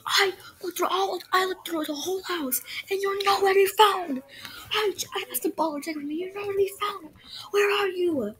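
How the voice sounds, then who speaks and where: A woman voicing an angry, frantic mother in a comedy skit, scolding and shouting. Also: extremely high pitch, normal speed, voice pushed up, poor audio. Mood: hushed, searching.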